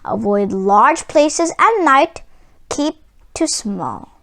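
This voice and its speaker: Storybook old priest read by a woman: high, swooping pitch, kindly but stern, solemn and admonishing. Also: animated, fairly bright tone, heavily acted. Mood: stern, advising. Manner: grave, deliberate, admonishing. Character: kind but stern old male priest giving advice.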